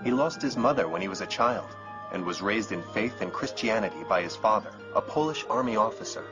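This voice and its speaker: A man's voice: on the low side, expressive, brisk, close to an everyday voice. A man playing an earnest, reverent storyteller, giving scripted narration in a dubbed cartoon. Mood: fond. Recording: muffled lo-fi audio with loud music.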